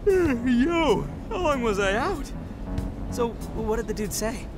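A man's voice: very high-pitched, sing-song, slow pace, over music, exaggerated voice. A man playing a brash, hot-headed teenage boy in a video game, blunt and slangy. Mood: impatient.

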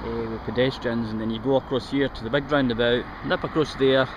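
A chatty middle-aged Scottish man talks into a handheld action camera while out on a bike ride. His voice sits at an ordinary middle pitch, and the outdoor camera audio catches clear traffic noise and ambient sound behind him. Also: fairly even intonation, a little fast, lo-fi sound. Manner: chatty, rambling, pointing things out. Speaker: vlogger. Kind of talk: casual commentary while cycling. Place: outdoors on canal paths and roadsides. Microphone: action camera held or mounted on the bike.

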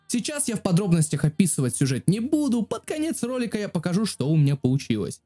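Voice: mid-range voice, very animated, quick pace, dark tone. A video creator narrating a casual joking tutorial in a crude, slangy, self-deprecating way. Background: music.

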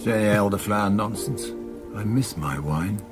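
A man's voice: on the low side, measured pace, music in the background, natural voice. An English man acting in a radio drama as a genial, courteous doctor at a dinner party.

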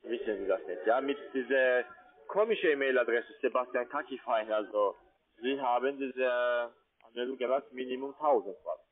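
A man's voice: medium pitch, normal speed. A man who is not a native German speaker, working as a call centre sales agent, insistent and ungrammatical in his phrasing. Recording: narrow tinny phone line.

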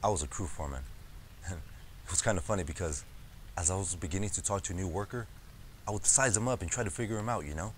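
Actor as a low-voiced, wry crew foreman. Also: slight background noise. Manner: conversational, wry storytelling. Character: wry, amiable farm crew foreman turned union organizer. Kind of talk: dramatized oral history monologue.

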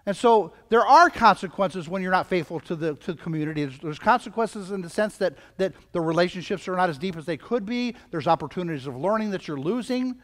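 A man's voice: high voice, lively intonation, brisk, few pauses, fairly bright tone. An older man preaching a sermon as a pastor, emphatic, repeating phrases and using rhetorical questions, recorded in a church hall. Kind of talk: sermon to a congregation. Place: church hall, indoors at a lectern. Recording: reverberant hall, picked up from a distance.

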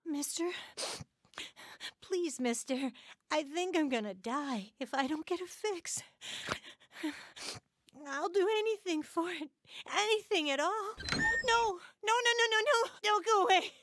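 A woman's voice: very high voice, lively intonation, unhurried, fairly bright tone, slight background noise, heavily acted. An actress playing a desperate teenage girl addict in a radio drama, begging and distraught. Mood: frantic pleading.

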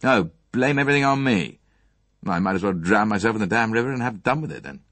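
A man's voice: lowish voice, animated, fast, fairly bright tone, no room sound. A man voicing a bitter, angry young man in an audiobook, sardonic and self-pitying, with curt, casual phrasing.

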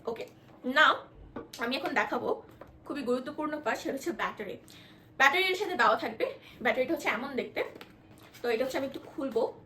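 A woman with a Bangladeshi accent presenting an informal product demonstration, explaining haltingly and mixing in English words. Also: high voice, lively intonation, pushed and bright. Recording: echoey room with background noise.

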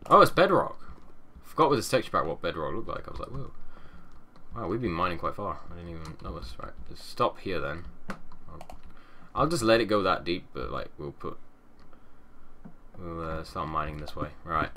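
A young man with a deep, low voice chats loosely while he plays, rambling from one thought to the next and mumbling little asides. He sounds a touch nasal and sniffly from a fading cold, talking into a headset mic in a small room. A steady hiss and keyboard clicks sit under his voice. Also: moderate pace, pauses often. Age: young adult. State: sniffly, getting over a cold. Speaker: gaming channel host. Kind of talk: casual let's-play commentary.

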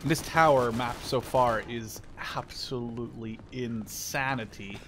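A man in his twenties giving casual gameplay commentary, excitable and rambling, with frequent swearing. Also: medium pitch, unhurried. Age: 20s. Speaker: video game streamer. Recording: gunfire and game noise behind voice. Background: video game gunfire.

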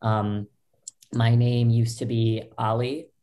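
Young woman with a deep, mellow voice. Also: flat delivery, takes it slowly. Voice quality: low voice. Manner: loose, filler-heavy talk with many restarts. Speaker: podcast guest. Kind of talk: candid personal story. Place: small home bedroom. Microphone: laptop webcam, video call.

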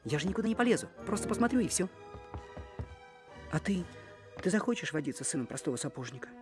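A man's voice: sits high, very animated, fast. A man playing a kind, eager young bewitched dwarf in a cartoon, earnest and boyish. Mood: gentle, shy. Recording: music behind the voice.

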